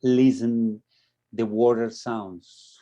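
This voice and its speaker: A man's voice: medium pitch, flat delivery, very slow, mellow. A man taking part in a class, reading aloud and speaking reflectively. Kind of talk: poem read aloud, brief reflective comments.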